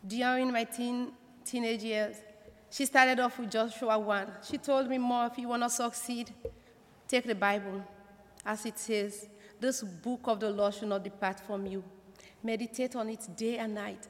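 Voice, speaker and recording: A woman's voice: a little high, steady tone, moderate pace. A woman giving a personal testimony to a congregation. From Africa. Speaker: church member introducing speakers. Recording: echoey hall with long reverb.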